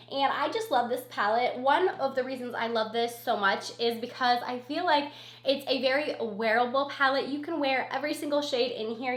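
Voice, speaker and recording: A woman's voice: on the high side, a bit bright, reverberant. Scratchy-voiced woman vlogger with a cold. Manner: gushing, repeats words for emphasis. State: has a cold, sniffling. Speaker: beauty vlogger. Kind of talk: casual product review chat.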